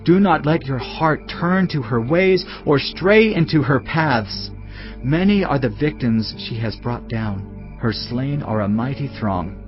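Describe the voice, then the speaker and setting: A man's voice: medium pitch, lively intonation, a little slow. Male narrator for dramatised scripture: solemn, fatherly, admonishing, mid-range voice, slightly dull recording over music. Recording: slightly muffled, music bed behind. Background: background music.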